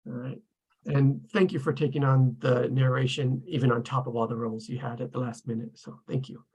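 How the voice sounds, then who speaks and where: A man's voice: mid-range voice, little pitch movement, a little fast, gravelly, darkish tone, husky, poor audio. A man hosting an online table read, casually introducing participants and thanking them.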